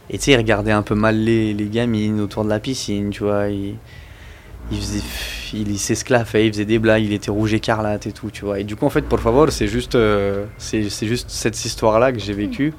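A young man with a lowish voice chats loosely about his songs in an interview. He keeps restarting and fills gaps with slang. A faint hum of room ambience sits under him. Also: little pitch movement, brisk. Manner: rambling, slangy, full of fillers and restarts. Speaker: musician guest. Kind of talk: casual interview chat.

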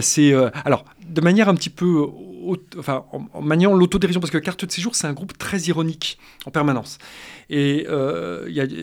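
Guy w a kinda high voice explaining music history on radio, lots of uh and restarts. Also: vocal fry. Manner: hesitant, many restarts and fillers, analytical. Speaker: guest expert on music history. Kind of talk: radio discussion.

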